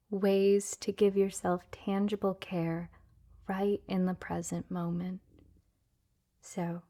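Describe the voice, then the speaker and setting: Soft, very slow, breathy woman guiding meditation. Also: fairly low pitch. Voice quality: soft, breathy, often near-whispered. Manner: gentle, soothing, unhurried, with long pauses. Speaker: meditation guide. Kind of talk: guided relaxation meditation, soft ASMR-like delivery.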